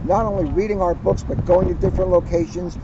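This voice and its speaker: A man who works as a police officer reads to children and chats informally, with expressive, deliberate storytelling and drawn-out phrase endings. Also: high voice. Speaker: police officer reading to children in a community video series. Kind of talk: picture-book read-aloud with informal chat. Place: outdoors at a garden center. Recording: dull, noisy outdoor audio.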